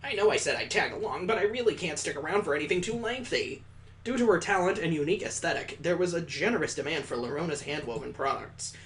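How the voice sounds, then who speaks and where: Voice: medium pitch, lively intonation, quick pace, fairly bright tone, a little hiss or hum. Wry narrator reading a game aloud. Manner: wry, incredulous, interjecting. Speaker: let's-play host reading a visual novel aloud. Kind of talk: dramatic read-aloud narration with casual sarcastic asides.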